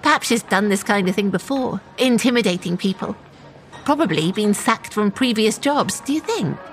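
A woman's voice: medium pitch, expressive, moderate pace, soft background music, close to an everyday voice. An actress playing an anxious, self-justifying woman shop manager in a naturalistic audio drama. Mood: uneasy, pensive.

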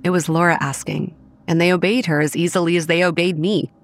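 A young woman acting as narrator of a horror audio drama, recounting grim childhood memories in a measured, matter-of-fact way. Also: lowish voice, fairly even intonation, close to an everyday voice. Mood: grave, measured. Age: young adult. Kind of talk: scripted first-person horror narration. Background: soft music.

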